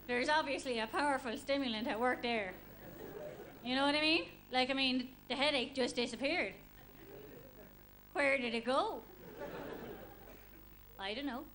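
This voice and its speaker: A woman's voice: fairly high pitch, close to an everyday voice. A woman with an Irish accent doing a stand-up impression of a naive, chatty female athlete, rambling along with lots of verbal tags. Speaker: comedy show host, stand-up comedian. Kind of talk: stand-up monologue in character. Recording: live stage with crowd, faint noise. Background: live audience.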